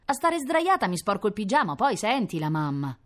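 A woman's voice: mid-range voice, big pitch swings, normal speed, voice pushed up, close to an everyday voice. A woman voicing a bossy, whiny little girl who squeals and pesters in a storybook reading. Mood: frightened, whining.

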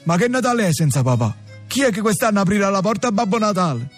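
An actor playing a naive, whiny young son of a crime family in a comic radio drama. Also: male voice, high-pitched, sing-song, fast talker, faint music behind.

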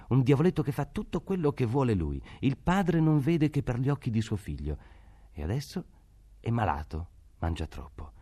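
A man voicing a brisk, matter-of-fact peasant woman who works as a servant. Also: deep voice, mellow, husky, exaggerated voice.

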